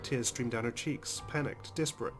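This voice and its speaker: A man's voice: mid-range voice, normal speed. A man hosting a let's play, reading visual novel narration aloud dramatically with casual, emotionally involved comments. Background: game music.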